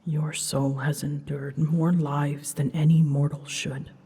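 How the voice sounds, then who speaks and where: A woman playing an ancient female dragon in a fantasy ASMR drama, solemn and tender, speaking softly in measured, formal phrases and often near a whisper. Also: sits low, little pitch movement, a little hiss or hum. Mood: solemn, quiet. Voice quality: soft, breathy, husky, often dropping into a whisper. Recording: long cavernous reverb.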